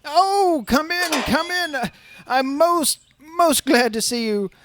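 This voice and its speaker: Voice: sits high, animated, slow pace, noisy background. An actor as a stammering, nervous old scientist. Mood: flustered welcome. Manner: stammering, repeating words, timid. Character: nervous, hesitant older male scientist, anxious and secretive. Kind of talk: radio drama acting.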